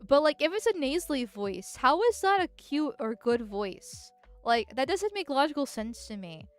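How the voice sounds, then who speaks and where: High, nasal-voiced woman streamer. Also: sing-song, normal speed, faint music behind. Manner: rambling, giggly, with frequent filler words. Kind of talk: casual livestream chat.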